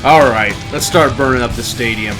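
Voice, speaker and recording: Mid-pitched man livestreaming a game. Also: moderate pace, over music, rough recording. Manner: narrates his moves, chatting to viewers. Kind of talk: casual live gameplay commentary.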